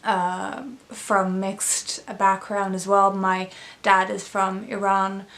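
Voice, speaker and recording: Young woman, Swedish native, relaxed book-vlog chat, hesitant with plenty of fillers. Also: mid-range voice, slow, vocal fry. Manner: thoughtful, many fillers and restarts. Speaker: book vlogger. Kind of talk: casual book review chat.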